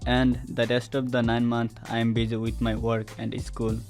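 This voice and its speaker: A young man reads a personal narration in a flat, even tone. He is measured and plain, with soft instrumental music behind him. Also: medium pitch. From Bangladesh. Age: teens to young adult. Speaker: student narrator. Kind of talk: first-person scripted narration.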